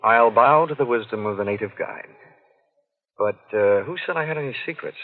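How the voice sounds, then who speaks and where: A man's voice: mid-range voice, normal speed, no echo, natural voice. Wry American radio detective, played by a man. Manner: wry, flippant, deadpan. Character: wisecracking hard-boiled American insurance detective. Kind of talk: 1940s radio detective acting with first-person narration. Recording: muffled 1940s radio recording, faint music.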